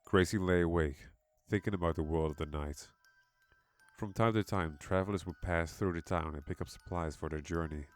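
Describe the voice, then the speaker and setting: A man narrating a scripted horror story in a measured, dramatic manner. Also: sits low. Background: background music.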